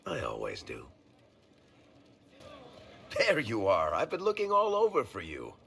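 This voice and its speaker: A man's voice: on the low side, wildly swooping pitch, unhurried, creaky, sharp tone, husky, exaggerated voice. A man playing a wry, world-weary dwarf storyteller who talks in a colloquial, teasing way in a video game. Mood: regretful, subdued. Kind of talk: video game cutscene acting.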